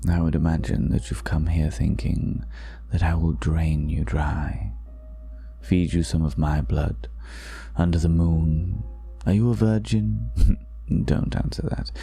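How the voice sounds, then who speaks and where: A man playing a seductive, ageless vampire in an intimate ASMR roleplay, speaking slowly and half in whispers, teasing with quiet menace, close to the microphone. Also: fairly even intonation, soft background music, full character voice. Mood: amused, teasing. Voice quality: very deep, gravelly. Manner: slow, breathy half-whispered delivery with lingering pauses.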